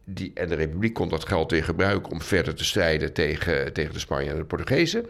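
A man's voice: rumbling low, animated, fast talker, gravelly, fairly bright tone. A man speaking as an interviewed historian, explaining conversationally with frequent rephrasings and little tag questions.

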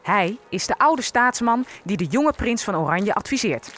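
A woman's voice: medium pitch, animated, moderate pace, fairly bright tone. A woman narrating a scripted historical story, telling it with wry asides.

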